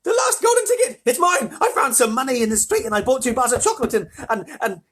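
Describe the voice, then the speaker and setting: Storybook young boy, acted by an adult reader: high, gabbling, overjoyed, big swings in pitch. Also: fairly quick, slightly rough, full character voice. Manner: shrieking, gabbling in excitement.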